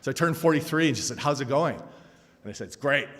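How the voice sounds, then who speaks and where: A man in his forties speaks at a conference panel, mixing his point with self-deprecating humor. His voice sits at an average pitch. The sound rings with a long hall reverb. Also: fairly quick. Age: 40s. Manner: self-deprecating, animated storytelling. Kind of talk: conference talk with humorous story.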